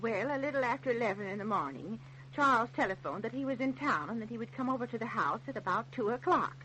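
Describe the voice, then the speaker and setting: A woman's voice: fairly high pitch, animated, quick pace, fairly bright tone. An actress playing a middle-aged bereaved mother testifying at an inquest in an old-time radio drama. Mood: subdued, sorrowful. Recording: noisy, humming old radio recording.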